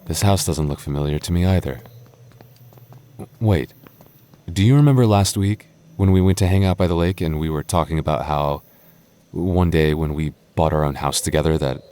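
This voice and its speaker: A young goth boyfriend, played by a man, speaks in an extremely deep voice. He is protective and tender, with a halting, playful way of talking straight to his partner, as in a boyfriend roleplay audio. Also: warm tone. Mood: hesitant, hopeful. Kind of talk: boyfriend ASMR roleplay, acted conversationally to the listener.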